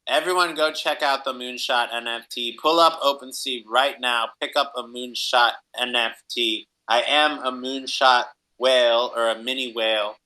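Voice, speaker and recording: A male podcast host with a very bright, forward voice. He chats enthusiastically and encouragingly, cheering on guest and audience like a hype man. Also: medium pitch, steady tone, moderate pace, few pauses, poor audio. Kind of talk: enthusiastic podcast interview chat.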